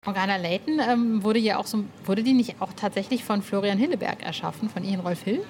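A woman's voice: medium pitch, a little fast, a little mellow. A woman hosting a podcast interview, chatting in an enthusiastic, rambling and colloquial way. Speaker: podcast host. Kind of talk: casual interview chat.

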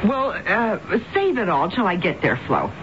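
Actress as breezy, animated wisecracking gal pal. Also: female voice, medium pitch, moderate pace, lightly acted. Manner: breezy, slangy, flippant. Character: breezy, wisecracking woman, reassuring best friend. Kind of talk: 1970s radio drama acting. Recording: muffled old radio, faint noise.

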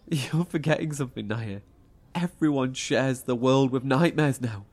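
Husky, defiant survivor. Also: medium pitch, expressive, slow, darkish tone, exaggerated voice. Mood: heated, bitter. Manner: sceptical, bitter, mocking. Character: defiant, sardonic survivor challenging a cult leader. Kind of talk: horror audio drama acting.

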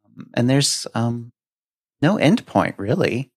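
A man with a medium-pitched voice in a dry, close recording. He speaks as a podcast co-host, thoughtful and hesitant, feeling his way through casual reflections in halting phrases. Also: sing-song, dry recording.

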